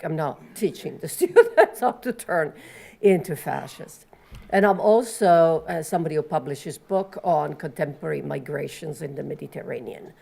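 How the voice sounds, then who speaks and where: A woman with an Italian accent, a professor moderating a panel and introducing speakers in an earnest, measured way. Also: low-pitched, creaky, a little mellow.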